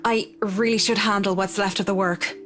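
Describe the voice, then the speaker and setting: A woman's voice: mid-range voice, fairly even intonation, moderate pace, a bit bright, faint music behind, close to an everyday voice. A woman playing a reserved, polite interior designer in a game, speaking in an understated, evasive way. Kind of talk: visual novel game voice acting.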